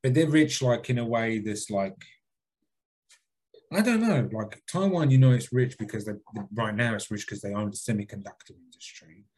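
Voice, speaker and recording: A man's voice: medium pitch, animated, moderate pace, darkish tone. A man co-hosting a podcast, reading out a listener email and then rambling, hedging and swearing freely in casual chat. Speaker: podcast co-host.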